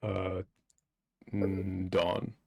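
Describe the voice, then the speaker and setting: A man's voice: low-pitched, completely flat delivery, extremely slow, vocal fry, mellow, a little hiss or hum. A man co-hosting a live-streamed movie discussion in a casual conversational style. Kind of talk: casual chat, film commentary.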